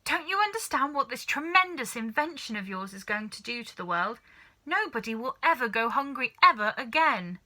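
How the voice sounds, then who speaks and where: A woman voicing a manic, excitable middle-aged farmer father in a children's storybook, exclaiming urgently with stretched-out words. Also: fairly high pitch, voice pushed up, heavily acted. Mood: impatient, urgent. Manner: exclaiming, urgent, swooping emphasis with drawn-out words. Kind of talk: children's storybook character reading.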